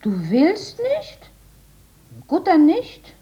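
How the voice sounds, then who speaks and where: Scornful, secretive seductress, 1950s radio drama; hushed, unhurried, dark tone on a noisy vintage recording. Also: female voice, very high-pitched, sing-song, muffled recording, heavily acted. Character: furtive, impatient, scornful seductive woman.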